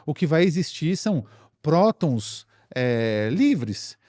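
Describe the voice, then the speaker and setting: A man's voice: on the high side, very animated, takes it slowly, a little mellow, dry recording, clean studio sound. A man with a Brazilian accent casually explaining science as a guest expert, step by step, repeating phrases for emphasis.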